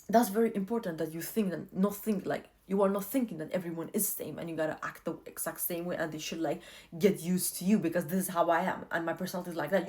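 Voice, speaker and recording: A woman's voice: lowish voice, darkish tone. A young woman whose first language is not English, talking casually to camera and giving earnest life advice, often repeating herself for emphasis.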